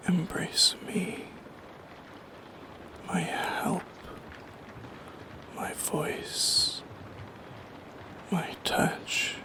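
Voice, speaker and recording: Male actor as brooding shadow creature, breathy whisper, very slow halting delivery, lonely and tender, solemn ASMR roleplay. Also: sits high, huge pitch swings, heavily acted. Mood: coaxing, yearning. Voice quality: breathy whisper with creaky edges.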